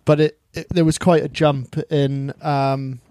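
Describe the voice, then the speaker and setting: A man's voice: fairly high pitch, little pitch movement, measured pace, dark tone, smooth. A middle-aged man, chatting as a podcast guest in a hesitant way with many restarts and "you know"s. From Wales. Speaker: guest, surfer. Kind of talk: casual interview chat.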